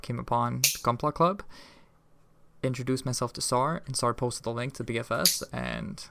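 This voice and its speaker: A man co-hosting a podcast, chatting casually in a slightly halting way. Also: mid-range voice, creaky, background noise. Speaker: podcast co-host. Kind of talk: casual hobby podcast chat.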